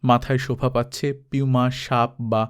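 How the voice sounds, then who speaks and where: A man reading an adventure novel aloud as narrator, steady and dramatic. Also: mid-range voice, moderate pace, clear, resonant tone. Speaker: audiobook narrator. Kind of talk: storybook reading.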